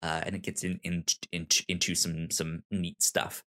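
A man hosting a podcast, chatting casually and enthusiastically, with a halting, rambling delivery and stuttered repeats of syllables and words. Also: sits low, level tone, fairly bright tone. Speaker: podcast host. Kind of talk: casual podcast recommendations and chat.

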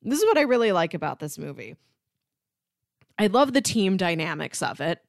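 A woman's voice: lowish voice, very animated, moderate pace, creaky, no room sound, clean studio sound. A woman co-hosting a podcast, talking casually about films and sometimes chuckling through her words. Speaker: podcast co-host debating films. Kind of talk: casual film discussion.

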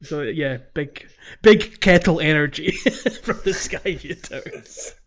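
A man's voice: fairly high pitch, slow, highs cut off. A man co-hosting a podcast, talking through a video game casually and a little hesitantly. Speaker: podcast co-host.

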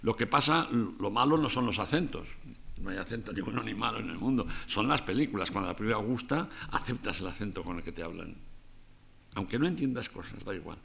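A man's voice: fairly low pitch, lively intonation, a little fast, vocal fry, fairly bright tone. An older man from Spain, giving a conversational lecture on film, digressive with frequent restarts and rhetorical asides.

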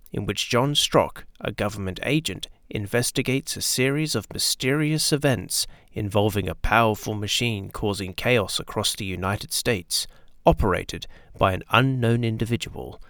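A man's voice: fairly low pitch, moderate pace, fairly bright tone, clear, resonant tone, no room sound, studio quality. A man hosting a radio drama show, reading a polished, promotional scripted introduction. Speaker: host and narrator. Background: music.

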